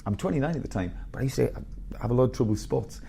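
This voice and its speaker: A man around fifty, a headmaster telling blunt, animated stories in a candid interview. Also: mid-range voice, very fast, creaky, a little hiss or hum. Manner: blunt, animated storyteller with bursts of laughter.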